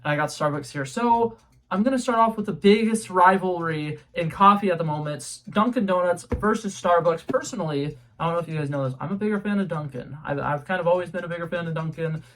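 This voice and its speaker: A young man with a fairly high voice chats his way through a taste test from his room at home. He rambles, restarts his sentences and fills the gaps with ums, in a loose and casual vlog manner. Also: quick pace. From New England. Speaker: YouTube host. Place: home room with a color-changing globe light.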